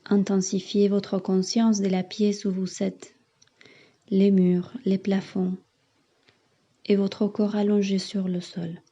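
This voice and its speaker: A woman yoga teacher leading a guided meditation in soothing, measured instructions with long pauses, on a slightly muffled recording. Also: mid-range voice, flat delivery, warm tone.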